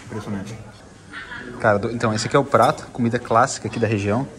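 Man, southern Brazilian accent, medium pitch, casual food vlogger, conversational, repetitive phrasing, clear crowd chatter in a restaurant. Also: fairly even intonation, quick pace. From Santa Catarina, southern Brazil. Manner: informal, conversational, repeats himself. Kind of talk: casual vlog chat while tasting food. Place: inside a restaurant. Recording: busy restaurant chatter behind.

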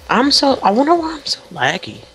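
A man chatting casually while playing as a guest, joking and teasing. His voice is bright, and his pitch jumps around. Faint music plays behind him. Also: very animated, fairly quick. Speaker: guest player. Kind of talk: casual gameplay chat.

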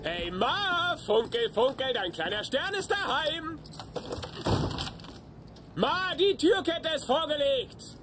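A man's voice: extremely high pitch, very animated, slow pace, pauses often, background noise, heavily acted. A man playing a cocky, whiny grown son in a dubbed sitcom. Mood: self-satisfied.

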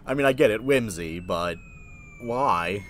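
A man's voice: lowish voice, lively intonation, unhurried, background noise. A man giving casual, wry, nitpicking commentary as he plays a video game. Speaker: gaming video host. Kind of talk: casual let's play commentary. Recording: game music behind voice.

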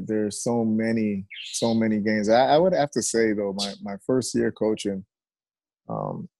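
Middle-aged male coach, mellow and gravelly, reflective and halting, casual interview. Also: lowish voice, no room sound, low-quality recording. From Jamaica and United States. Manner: reflective, halting with repeated words. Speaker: high school football coach, interview guest. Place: covered outdoor porch.

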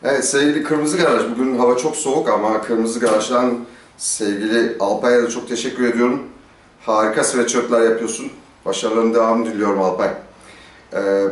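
A middle-aged man with a medium-pitched voice presents a gear review in a conversational, explanatory style. He puts questions to the listener and answers them himself. The sound is clearly echoey, picked up a little way from the microphone in a stone-walled courtyard. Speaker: motorcycle gear reviewer, host. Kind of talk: casual explanatory product review to camera. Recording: roomy echo, distant microphone.

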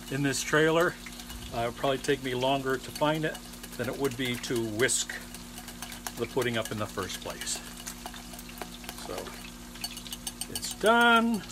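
An older man in his sixties hosts a casual cooking video from a small trailer kitchen. His voice sits at a medium pitch and he talks haltingly, pausing mid-sentence. Clear frying and kitchen noise runs behind him. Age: 60s. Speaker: home cook and cooking show host. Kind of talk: casual cooking demonstration talk.

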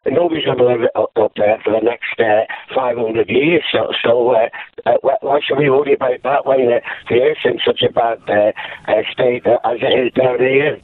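A man calling in to a radio phone-in, hoarse from a sore throat, rambling with many halting fillers. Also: medium pitch, steady tone, no room sound. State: sore throat, losing his voice. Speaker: caller. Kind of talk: phone-in call. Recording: phone line.

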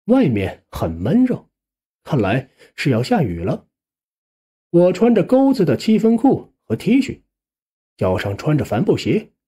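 A male audiobook narrator tells a suspense story in the first person. His delivery swings widely in pitch and takes its time. The tone is soft-edged and slightly husky, with a colloquial storyteller's phrasing.

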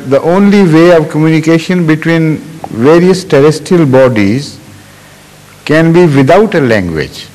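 A middle-aged man, seated at a stand mic in a large hall, answering a question with slow, thoughtful explanation and frequent pauses. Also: fairly high pitch, a little mellow. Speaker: religious leader answering questions. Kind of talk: spontaneous question-and-answer talk. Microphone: stand mic in front of chair. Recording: long hall reverb, old 1980s video.

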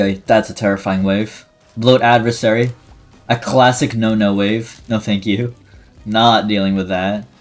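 A man streaming a video game with casual, reactive commentary, his delivery excitable and moving between muttered asides and shouts. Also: fairly low pitch. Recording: game music behind the voice. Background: video game music.

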